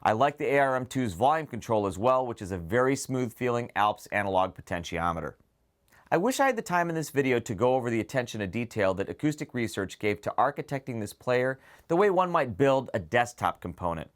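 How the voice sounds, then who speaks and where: A man's voice: medium pitch, sing-song, a little fast. Man presenting an audio gear preview. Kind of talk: semi-scripted product preview narration to viewers.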